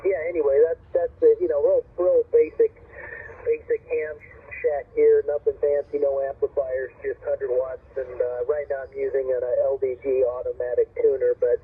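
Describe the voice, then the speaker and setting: A man heard over two-way radio, an amateur operator chatting casually in halting, unassuming technical talk. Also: medium pitch, level tone, breathy edge. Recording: narrow tinny radio link, faint noise.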